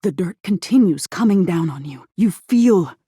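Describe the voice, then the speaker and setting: A woman voicing an eerie, thoughtful nightmare spirit in a video game, measured and breathy, often slipping toward a whisper. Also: fairly low pitch, animated, mellow, dry recording, clean studio sound. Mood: ominous, hushed. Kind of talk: scripted game character acting, intimate second-person storytelling.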